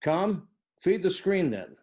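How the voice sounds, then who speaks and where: An actor playing a businesslike starship officer in a table read of a sci-fi TV scene. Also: male voice, high voice, very animated, slow pace, a little mellow, heavily acted.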